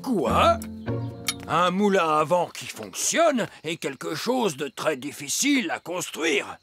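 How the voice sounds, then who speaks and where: A man's voice: a little high, sing-song, slow pace, over music, full character voice. A man playing a stern but kindly wise old elf teacher in a children's cartoon, with a formal, lecturing manner. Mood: reluctant.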